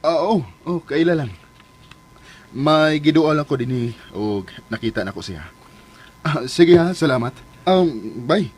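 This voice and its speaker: A man's voice: on the high side, expressive, unhurried, breathy edge. A man acting in a Philippine radio drama as an inquisitive man secretly tailing someone, watchful, with a Filipino accent. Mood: curious, polite. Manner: watchful, self-narrating, inquisitive.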